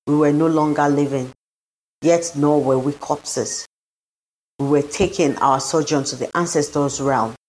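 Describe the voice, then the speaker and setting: A woman's voice: low voice, a little mellow, poor audio. A woman reading literary fiction aloud as scripted narration, in a solemn, dramatic manner. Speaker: story reader.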